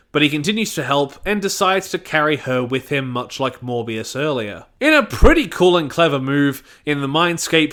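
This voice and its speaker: A man's voice: on the high side, a bit bright. A man giving a scripted review in an opinionated way, with jokey asides. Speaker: audio drama reviewer.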